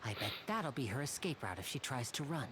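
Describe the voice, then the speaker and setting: A woman voicing a sly, confident young male stage magician in a video game, with a smooth theatrical manner. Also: a bass voice, very animated, a little fast, background noise. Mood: hushed, urgent. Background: game music.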